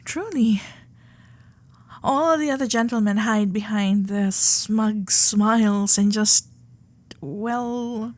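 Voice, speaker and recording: A woman voicing a frank, flustered young Gilded Age society lady in a romantic ASMR audio drama, speaking earnestly in formal period phrasing with breathy asides. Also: mid-range voice, takes it slowly, darkish tone, close to an everyday voice. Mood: shyly smitten.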